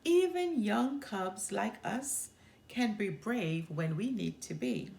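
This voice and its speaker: A woman's voice: medium pitch, animated, slow pace, gravelly, darkish tone, echoey room. A woman voicing a brave, fair-minded young boy bear cub in a children's storybook, earnest and reassuring.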